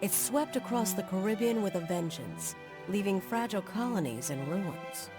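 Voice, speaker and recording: An actress as a solemn storyteller giving dramatic scripted narration for a game. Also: female voice, medium pitch, animated, normal speed, music in the background, natural voice. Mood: grave, dramatic.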